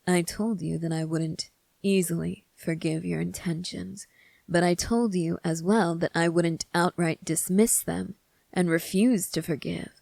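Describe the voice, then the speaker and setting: Young prince, played by a woman for ASMR roleplay: teasing, smug, quietly in command, very unhurried delivery in a fairly low register. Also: dry recording. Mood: coaxing, superior. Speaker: voice actor. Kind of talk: scripted ASMR roleplay monologue.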